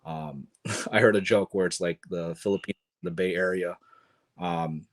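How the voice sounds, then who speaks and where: Deep-voiced man, thoughtful and halting. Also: fairly even intonation. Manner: thoughtful, many fillers and restarts. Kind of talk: casual podcast conversation.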